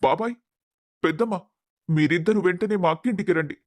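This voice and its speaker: A man's voice: high-pitched, fairly quick, dry recording, natural voice. A man playing an emotive, earnest middle-aged mill owner and devoted father in a dramatized moral story. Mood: urgent.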